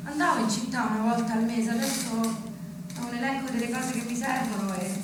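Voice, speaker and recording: A woman's voice: on the high side, fairly even intonation, fairly quick, lightly acted. An actress playing a defiant, wounded woman who left her provincial town, in insistent, emphatic theatrical stage acting. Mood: earnest, defensive. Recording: echoey stage recording from far off, noisy.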